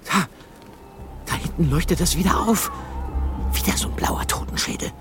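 A man acting an excited, urgent young detective in a German radio play. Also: sits high, moderate pace, music in the background. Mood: agitated. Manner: urgent, exclaiming. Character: excited young male detective chasing a mystery.

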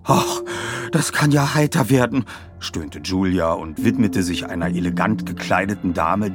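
A man reading scripted audiobook narration in an expressive storytelling manner. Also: deep voice.

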